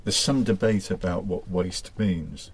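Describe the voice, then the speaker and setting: A man's voice: deep voice, unhurried, husky, no room sound. A man hosting an interview, setting out points and putting questions to his guests. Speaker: interviewer, host.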